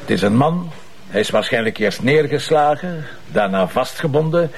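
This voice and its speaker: A man with a Flemish accent playing a brusque, impatient police commissioner in a crime drama, brisk and bossy. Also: mid-range voice, lively intonation, vocal fry, slightly rough. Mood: businesslike. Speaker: actor.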